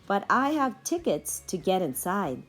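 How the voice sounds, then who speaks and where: A patient storybook aunt, voiced by a woman reader explains things to her young nieces. She speaks slowly and clearly stresses key words with a lifting pitch, in a slightly husky voice. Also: very animated, a bit bright, soft background music. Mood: patient, explaining. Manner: explanatory, measured.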